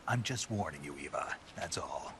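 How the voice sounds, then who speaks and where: An actor voicing a gruff, middle-aged male soldier in a game cutscene, clipped and matter-of-fact. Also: very deep voice, lively intonation, normal speed, a bit bright, slight background noise. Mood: stern. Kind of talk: video game cutscene acting. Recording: music playing under the dialogue.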